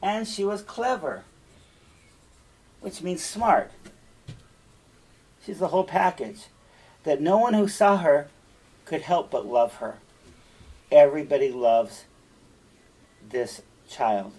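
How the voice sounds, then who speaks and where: A man with a husky voice, speaking as a teacher in an emphatic, deliberate storytelling style, checking in with listeners. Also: on the high side, expressive, mellow, rough recording. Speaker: teacher reading and explaining a fairytale. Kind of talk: storytelling read-aloud with explanatory asides.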